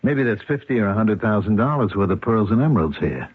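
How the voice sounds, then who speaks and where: An actor playing a gruff, domineering male con artist with condescending, slangy tough-guy talk in a radio drama. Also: lowish voice, lively intonation, fast, no room sound. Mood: scheming, scornful. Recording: muffled, phone-like old radio recording.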